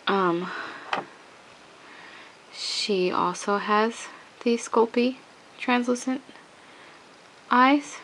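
A woman's voice: on the high side, drawn-out words, long pauses, fairly bright tone, a little hiss or hum, poor audio. A woman with a cold talks through a hands-on craft tutorial at home, sniffling and rambling with many restarts. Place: home craft table, indoors.

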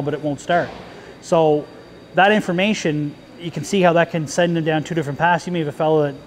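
A man's voice: on the high side, slight background noise. A man in his thirties, a shop foreman, talking casually to camera in a car dealership service bay, with plain everyday wording and frequent fillers and restarts.

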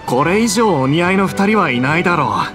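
A man's voice: sits high, animated, fairly quick. A man voicing a good-natured, admiring townsman in an anime-style game. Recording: music behind.

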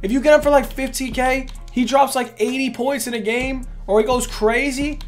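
Hoarse hyped young man gaming. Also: very high voice, fairly even intonation, normal speed, soft background music. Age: young adult. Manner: hyped, rambling, says "literally" and "bro" constantly. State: losing his voice. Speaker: gaming channel host. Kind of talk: casual gaming commentary. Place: indoors at a gaming setup, face cam.

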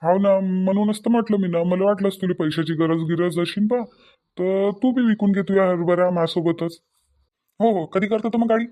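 A man's voice: high-pitched, normal speed, dark tone, lightly acted. A man voicing a practical village farmer in a cartoon, folksy and repetitive, with a Varhadi Marathi accent. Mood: neighbourly. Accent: Varhadi (Vidarbha) Marathi.

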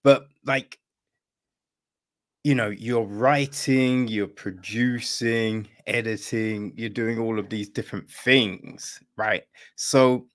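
A man's voice: fairly low pitch, steady tone, slow pace. A middle-aged or older man hosting a casual interview over a video call. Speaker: podcast host. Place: room at home, on a video call.

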